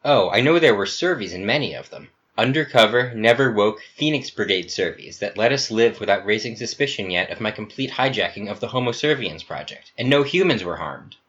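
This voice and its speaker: A man's voice: lowish voice, lively intonation, fairly quick, few pauses, fairly bright tone, dull audio. A man voicing a calculating, self-assured artificial human rebel leader in a breezy, matter-of-fact, chummy manner. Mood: calm, self-justifying.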